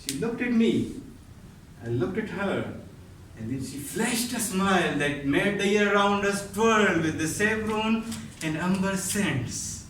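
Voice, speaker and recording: A man telling a story as a speech to an audience, with a dramatic, drawn-out delivery full of pauses. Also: high voice, lively intonation, a bit bright. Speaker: contest speaker. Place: echoey room at a speech contest. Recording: roomy echo, distant mic, faint noise.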